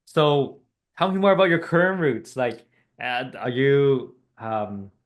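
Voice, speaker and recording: A man's voice: medium pitch, expressive, slow pace. Man, podcast host, casual interview, laughs often, rambling questions.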